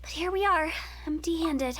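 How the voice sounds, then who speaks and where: A woman's voice: very high voice, normal speed, fairly bright tone. A woman voicing a playful young female funeral parlor director in a video game, breezy, confident and mischievous. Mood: wry.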